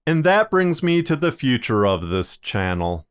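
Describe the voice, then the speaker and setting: A man narrating a scripted video as a podcaster, speaking in measured, deliberate phrases with short pauses. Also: mid-range voice, big pitch swings, clear, resonant tone. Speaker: podcaster and fiction narrator presenting a video series.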